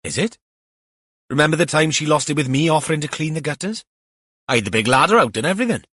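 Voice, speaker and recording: A man's voice: fairly high pitch, animated, fast talker, bright, forceful tone, husky, no echo, studio quality, voice pushed up, full character voice. A man voicing an earnest, fussy older husband in an audiobook, plain-spoken and slightly aggrieved.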